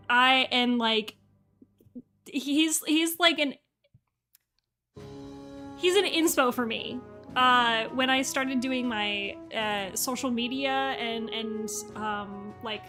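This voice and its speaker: A woman hosting a casual livestream reaction chat, enthusiastic and gushing in a halting way. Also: sits high. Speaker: livestream host and voice actor. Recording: faint music behind.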